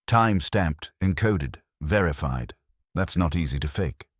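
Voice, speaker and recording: A man's voice: low voice, expressive, a little slow, breathy edge, no room sound, clean studio sound, full character voice. A man voicing a wary, matter-of-fact office tech worker in a detective audiobook, clipped and technical. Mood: revealing.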